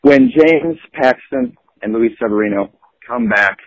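A man's voice: medium pitch, unhurried, no echo. A man leaving a casual voicemail message as a caller, heard through lo-fi phone audio.

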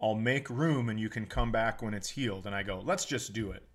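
A man's voice: fairly low pitch, brisk. An American man hosting a comedy podcast in a casual, joking, sometimes profane way.

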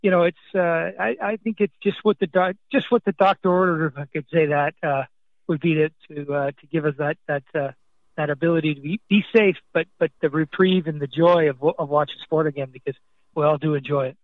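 A man's voice: on the high side, dry recording. A man who grew up in Canada after being born in Scotland, a soccer league executive answering radio interview questions with many restarts and fillers. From born in Scotland, raised in Canada. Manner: halting, repeats words, many fillers. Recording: dull, dry remote line.

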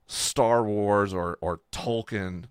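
A man's voice: lowish voice, takes it slowly, smooth, no echo, studio quality. A man explaining a fictional world in a casual, enthusiastic discussion as a host and creator.

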